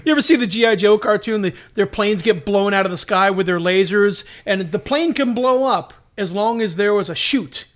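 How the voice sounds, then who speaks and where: A man's voice: sits high, moderate pace, few pauses. A middle-aged man hosting a podcast, chatting casually with jokes and digressions. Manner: joking, digressive. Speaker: podcast host. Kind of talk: casual chat.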